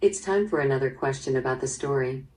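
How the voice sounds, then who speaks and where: A woman's voice: rumbling low, fast, a little mellow. A woman hosting an interactive story game in a scripted, question-and-answer style. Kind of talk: scripted narration, quiz hosting. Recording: distant, played through a small speaker.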